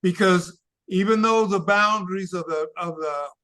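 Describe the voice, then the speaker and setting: A man who is losing his voice gives slow, deliberate travel advice as a consultant, checking in with "okay?" and "all right?". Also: high voice, bright, forceful tone. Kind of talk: casual advice talk.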